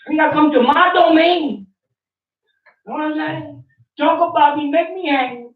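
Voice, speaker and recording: A man's voice: very high-pitched, normal speed. A man in a small study room speaking emphatically and fiery, repeating his points in an impassioned rant. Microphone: far room camera. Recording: echoey, distant, dull room recording.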